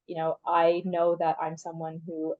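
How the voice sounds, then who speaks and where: A woman's voice: lowish voice, completely flat delivery, a little slow, phone-quality sound. A young woman from Canada, the guest in a casual interview, talking in a chatty way with many "like" fillers. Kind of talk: casual conversation.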